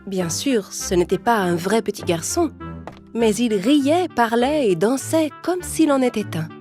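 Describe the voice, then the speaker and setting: A woman narrating a cartoon fairy tale in a calm, even storytelling voice. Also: fairly high pitch, a little slow. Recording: music playing underneath.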